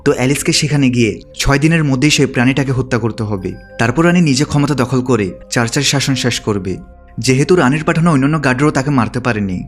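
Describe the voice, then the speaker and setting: Fast-talking man narrating a recap over music. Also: medium pitch. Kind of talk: scripted narration. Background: background music.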